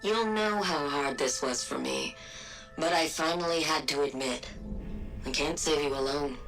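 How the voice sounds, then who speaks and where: An actress playing a coldly analytical but devoted villain scientist in a video game, speaking in a measured, formal, precise way over music. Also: female voice, low-pitched, poor audio. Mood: solemn, confiding. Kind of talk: dramatic video game cutscene monologue. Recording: loud music under the voice.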